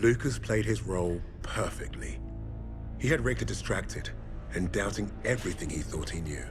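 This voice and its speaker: A grave British squad leader in a video game, narrating with a British accent in a solemn, measured way. His voice is very deep, with loud music underneath. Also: expressive. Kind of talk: reflective narration.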